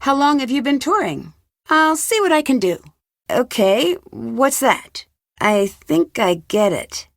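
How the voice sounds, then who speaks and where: A curious young woman detective from a video game. Her voice sits a little high and is recorded very dry. She is polite and articulate, always probing with questions. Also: no echo.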